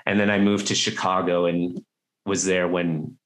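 Male interview host, Canadian accent, chatty and informal, on the low side. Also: flat delivery, moderate pace, poor audio. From Toronto, Canada. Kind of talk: casual interview chat with quiz questions.

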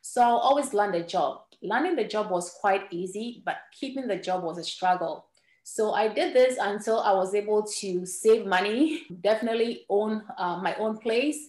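A woman's voice: mid-range voice, normal speed, a little mellow, reverberant. A woman from Ghana whose first language is Ewe, a podcast guest telling her life story earnestly, with small restarts. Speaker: guest entrepreneur.

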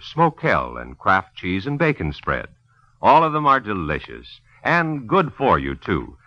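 A dull, dry old radio recording features an American male announcer with a low, very sharp, punchy voice. His pitch moves in big lively swings as he delivers scripted copy with polished emphasis. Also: no echo. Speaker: announcer and narrator. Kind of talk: vintage radio ad read and scripted narration.

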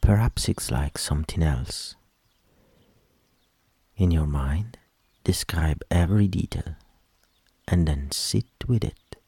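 A man leading a guided meditation in a soft, soothing voice with gentle instructions that trail into whispers. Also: deep voice, breathy edge, no room sound. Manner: slow, gentle instructions with long pauses.

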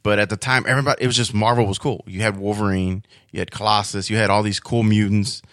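A man's voice: on the low side, flat delivery, fairly bright tone, no echo. Informal man reminiscing in a dry space. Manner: informal, slangy, halting recall. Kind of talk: casual chat, reminiscing.

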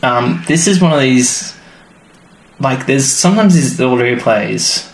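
A man's voice: medium pitch, animated, measured pace. A man co-hosting a podcast, chatting casually and rambling with many fillers. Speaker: podcast co-host. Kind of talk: casual chat. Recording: roomy with faint noise.

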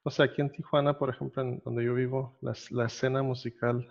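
A relaxed man chats as the host of an interview. He rambles a little, fills gaps with "este" and laughs easily. His voice comes through a dull, lo-fi remote line. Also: medium pitch, fairly quick, creaky. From Costa Rica. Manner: laid-back, laughs often, rambling with fillers. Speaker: podcast host. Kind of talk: casual interview chat.

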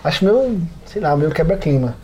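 A man's voice: fairly high pitch, big pitch swings, moderate pace, darkish tone, a little hiss or hum, rough recording. A man with a Brazilian accent chatting casually as a podcast panelist, slangy and hesitant, in short phrases. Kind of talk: casual chat.

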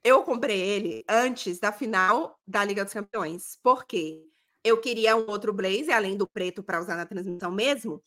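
A woman in her thirties with a Brazilian accent, telling stories in a chatty, animated way as a podcast co-host. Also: medium pitch, moderate pace. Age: 30s. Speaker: podcast co-host and football broadcast journalist. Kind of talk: casual podcast chat.

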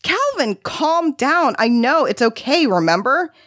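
A woman voicing a patient female carer who coaxes and soothes a volatile child, explaining things deliberately in a narrated story. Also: mid-range voice, huge pitch swings, no echo, clean studio sound, voice pushed up. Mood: urgent, calming.

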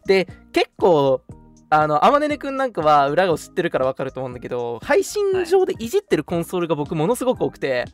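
A young man hosts a live radio show with an animated, swooping delivery. He is polite and quick to apologize, then slips into casual banter. Faint music plays behind him. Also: fairly high pitch. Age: young adult. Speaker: host of an online radio show. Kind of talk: live radio chat with scripted announcements.

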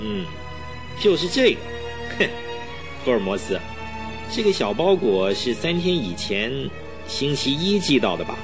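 A man's voice: mid-range voice, sing-song, unhurried, pauses often, over music. A man acting in a radio drama as a smug, cruel villain, a murderer who gloats and taunts his victim. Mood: smug, gloating. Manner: taunting, smug, self-satisfied.

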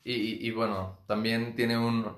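A Mexican man hosting a film podcast, chatting in a relaxed, joking, stop-start way. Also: on the low side, unhurried. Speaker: podcast host. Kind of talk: casual chat.

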